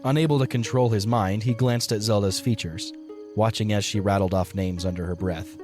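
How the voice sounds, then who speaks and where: Narrator, male: somewhat low pitch, scripted storytelling read, faint music bed beneath. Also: steady tone, moderate pace, a little mellow.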